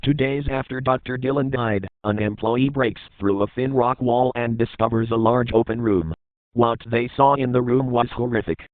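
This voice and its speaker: A man's voice: mid-range voice, moderate pace, no room sound. Phone-like, dry man narrating scripted horror.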